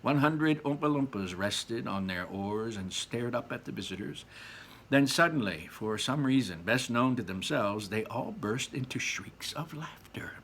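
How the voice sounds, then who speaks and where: Animated man reading a storybook aloud. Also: mid-range voice, a little slow, a little hiss or hum. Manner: dramatic, emphatic, repeats phrases for effect. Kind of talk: animated storybook narration with casual asides.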